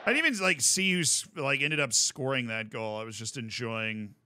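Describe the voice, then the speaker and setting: An American man streaming casually while he plays, explaining things in an animated, rambling way and often checking in with "right?". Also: mid-range voice, moderate pace. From United States. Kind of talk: casual chat while playing.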